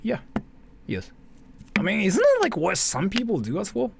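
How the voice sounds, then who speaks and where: A man's voice: fairly high pitch, a little hiss or hum. A man hosting a livestream in a casual, blunt way, thinking aloud and swearing freely. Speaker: livestream host. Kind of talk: casual livestream reaction chat.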